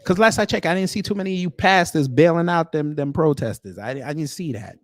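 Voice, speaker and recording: A man with an American accent hosting off-the-cuff online commentary in a sarcastic, confrontational manner with pointed pauses. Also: fairly high pitch, dry recording. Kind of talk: off-the-cuff opinion commentary, reading posts aloud.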